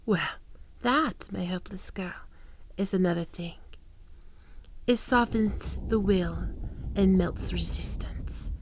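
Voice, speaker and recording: A woman voicing a seductive, predatory spider woman in an ASMR roleplay, mostly whispering slowly and close, over a noisy recording. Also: medium pitch, lightly acted. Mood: teasing, menacing.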